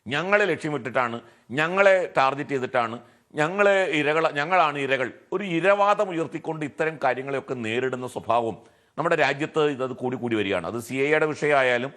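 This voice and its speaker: A man's voice: mid-range voice, moderate pace, a bit bright. A man with a Malayali accent arguing his case as a television debate panelist, often posing rhetorical questions. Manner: argumentative, poses rhetorical questions.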